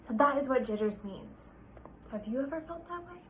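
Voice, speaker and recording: Animated, high-voiced woman teacher reading aloud. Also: brisk, a little hiss or hum. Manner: expressive, explanatory, prompting with questions. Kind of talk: picture-book read-aloud with questions to young listeners.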